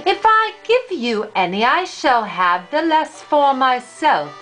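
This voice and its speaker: A selfish young man from a fairy tale, read by an older woman, curt and dismissive. The voice is high and slow, with music behind. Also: sing-song, fairly bright tone, full character voice, rough recording. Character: clever, selfish, dismissive young elder brothers.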